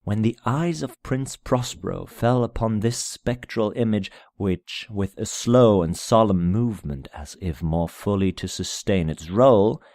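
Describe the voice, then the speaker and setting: A man narrating a gothic tale as a dramatic reading, in a solemn, measured manner with deliberate phrasing. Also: fairly low pitch, a little mellow, no echo, clean studio sound. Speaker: amateur audiobook narrator.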